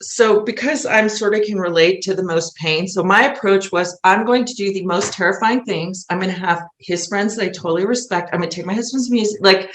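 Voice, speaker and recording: A woman's voice: medium pitch, a little fast, few pauses, slight background noise. A woman guest on a podcast talking casually and earnestly, in an emphatic, rambling way. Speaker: podcast guest, musical playwright. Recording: roomy, echoey sound.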